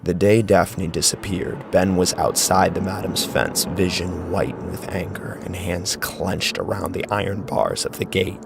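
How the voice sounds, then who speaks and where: A man's voice: sits low, unhurried, gravelly, hardly any pauses, music in the background. A man narrating a scripted horror story in a dramatic style.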